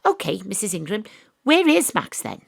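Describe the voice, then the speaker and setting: Female private eye, Welsh accent, deadpan noir parody, sceptical, very dry close sound. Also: mid-range voice, normal speed, vocal fry, dry recording, exaggerated voice. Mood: probing, incredulous. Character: wry female Welsh private detective, ex-rugby player. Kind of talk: noir-parody audio drama narration and dialogue.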